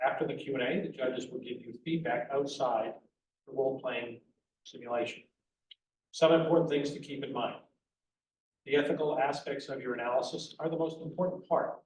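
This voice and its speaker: A man serving as competition judge, reading out rules in a measured way with frequent fillers. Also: medium pitch, steady tone, a little mellow, far from the mic. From United States. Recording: echoey room heard from afar, faint noise.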